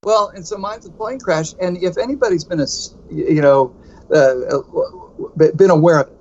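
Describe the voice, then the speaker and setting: Higher-pitched Texan man with a muffled sound. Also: moderate pace, a little mellow. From United States. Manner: rambling, self-deprecating, restarts often. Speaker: podcast co-host. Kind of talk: casual conversational podcast.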